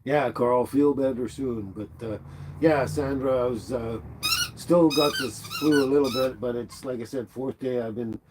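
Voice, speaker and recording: A man's voice: mid-range voice, unhurried, background noise. A middle-aged man with a stuffy nose, hosting a casual livestream from home and chatting with viewers by name. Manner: rambling, relaxed, talks to viewers by name. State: stuffy from the flu. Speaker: livestream host. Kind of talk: casual livestream chat reading comments. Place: at home indoors. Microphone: laptop microphone.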